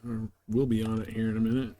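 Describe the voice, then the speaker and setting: A man's voice: fairly low pitch, flat delivery, fast, warm tone. A man giving casual live gameplay commentary as a streamer, thinking aloud in short, laid-back fragments. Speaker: game streamer.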